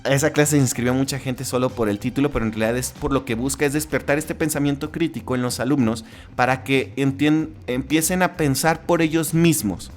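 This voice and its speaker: A man's voice: medium pitch, a little fast, faint music behind. A man with a Mexican accent hosting a solo podcast in a casual, conversational way, with frequent restarts and tag questions. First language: Spanish. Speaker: podcast host.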